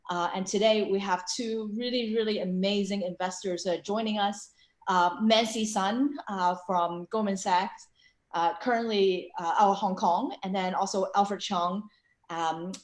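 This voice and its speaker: A woman hosting a casual online panel over a video call, chatty and full of fillers. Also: mid-range voice. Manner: filler-heavy, chatty, frequent restarts. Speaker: webinar host and co-founder. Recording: echoey room.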